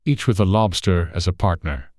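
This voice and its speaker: Guy doing a deep griffin creature, blunt and excitable, storybook character, very dry sound. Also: normal speed, dry recording. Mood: brash. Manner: blunt, interrupting. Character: brash, excitable griffin beast. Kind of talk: dramatized audiobook character.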